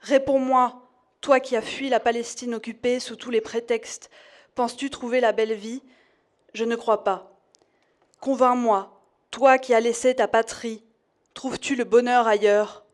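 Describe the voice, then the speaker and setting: A woman reading a poem aloud in a measured, deliberate way. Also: fairly high pitch, fairly even intonation. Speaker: audience member reading a poem. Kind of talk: poetry reading.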